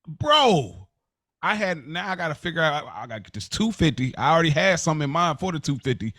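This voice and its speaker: A man's voice: a little high, fast, dry recording. Laid-back man, dry close sound. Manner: relaxed, rambling with restarts and repeated words. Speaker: podcast co-host. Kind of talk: casual chat.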